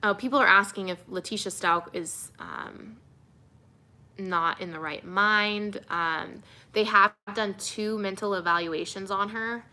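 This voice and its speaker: A young woman TV reporter, relaxed and soothing, answering viewer questions in a casual livestream with many fillers. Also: medium pitch, a little slow. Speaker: TV news reporter.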